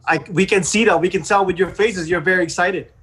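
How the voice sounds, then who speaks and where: Male talk show host, notably high voice, casual and conversational interviewing for online radio. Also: steady tone, a little fast, a bit bright.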